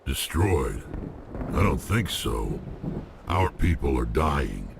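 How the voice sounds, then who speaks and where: An actor playing a proud, angry male alien warrior with a deep, gravelly growl, in a video game. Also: big pitch swings, slow pace, noisy background, full character voice. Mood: defiant.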